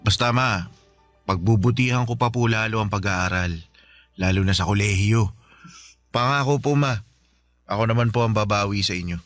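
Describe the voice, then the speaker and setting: A man's voice: lowish voice, normal speed, pauses often, a bit bright, no room sound, faint music behind, close to an everyday voice. An actor playing a polite, slightly shy teenage son who speaks respectfully to his mother in a radio drama. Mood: earnest. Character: polite teenage son who just graduated high school.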